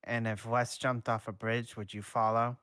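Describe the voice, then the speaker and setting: A man voicing a stern but caring schoolteacher in a fan fiction reading, slow, formal and measured. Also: medium pitch, level tone, fairly bright tone, clean tone, no room sound, natural voice. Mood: exasperated.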